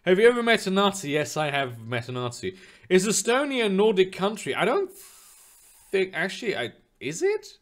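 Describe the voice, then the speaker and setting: A man's voice: sits high, sing-song, moderate pace. A young man streaming live, chatting loosely with his viewers in a rambling way, full of fillers and casual swearing. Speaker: streamer reading chat.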